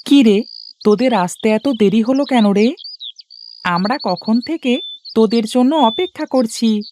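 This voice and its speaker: A woman's voice: on the high side, expressive, measured pace, dark tone, a little hiss or hum. A woman voicing a sociable, slightly impatient young village playmate in a cartoon story, with a chatty manner.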